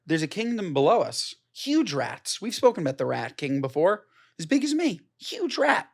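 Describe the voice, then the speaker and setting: A man's voice: high-pitched, sing-song, normal speed, vocal fry, slightly rough. A man co-hosting a podcast in casual chat, joking in exaggerated, mock-outraged riffs. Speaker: podcast co-host.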